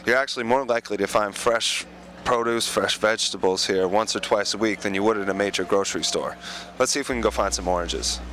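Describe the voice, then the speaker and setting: Man, thirties, low voice, handheld mic on a noisy street, deadpan reporter. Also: fast. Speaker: host reporter. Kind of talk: mock news report vlog. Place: outdoors on a city street. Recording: loud street noise behind.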